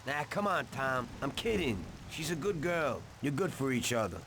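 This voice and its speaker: A man's voice: medium pitch, lively intonation, moderate pace, background noise, natural voice. A man playing a cocky, hot-tempered 1930s American mobster in a video game, with brash, slangy street talk. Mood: teasing. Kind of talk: dramatic video game voice acting.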